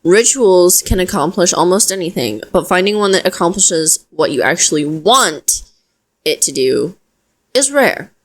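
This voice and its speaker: A woman playing a chatty, flustered young wizard in an audio roleplay, speaking straight to the listener in a hesitant, expressive, conversational way. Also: lowish voice, a little slow. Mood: earnest explaining. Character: flustered young female wizard, chatty, earnest, self-deprecating.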